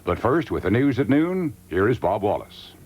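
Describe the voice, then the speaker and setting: A man's voice: fairly low pitch, big pitch swings, moderate pace, darkish tone. An American man announcing a television program with a scripted introduction.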